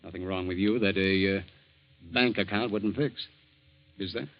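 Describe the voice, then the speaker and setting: Brief: sly scheming lawyer, lowish voice, dull vintage radio sound. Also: male voice, steady tone, normal speed. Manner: insinuating, menacing. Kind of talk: 1940s radio acting. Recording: dull old radio recording.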